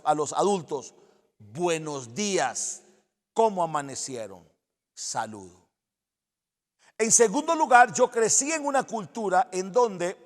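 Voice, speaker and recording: A man's voice: sits high, in a large hall. A male pastor preaching a sermon in earnest, exhorting tones, repeating phrases for emphasis.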